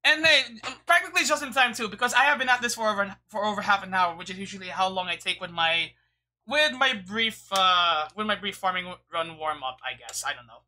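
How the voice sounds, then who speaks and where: A woman's voice: mid-range voice, expressive, a little fast, bright, forceful tone, slight background noise. A woman livestreaming a game with casual, rambling commentary, often stammering and swearing, on a streaming microphone.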